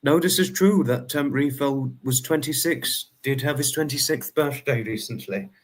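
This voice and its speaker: A man's voice: mid-range voice, normal speed. A young man in his twenties hosting a casual livestream, chatting and answering viewer comments by name in a rambling, friendly way. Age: 20s. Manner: rambling, restarts number sums aloud. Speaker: livestream host.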